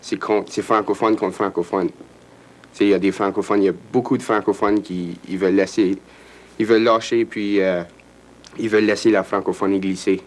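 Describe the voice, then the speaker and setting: Guy in an interview, low flat voice, keeps restarting his sentences. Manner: halting, earnest, many restarts. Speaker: francophone interviewee.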